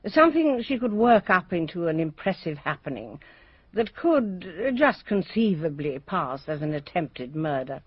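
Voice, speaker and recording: A woman playing a brisk, unsentimental headmistress, speaking formally and bluntly in a radio drama. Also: fairly low pitch, big pitch swings, breathy edge, dry recording. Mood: measured, formal. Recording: dry, slightly dull radio audio.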